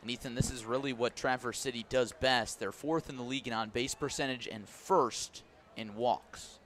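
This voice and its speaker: A man's voice: mid-range voice, moderate pace, fairly bright tone. A man giving live radio play-by-play of baseball, in clipped descriptive phrases that rise with the action. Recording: noisy outdoor ballpark ambience.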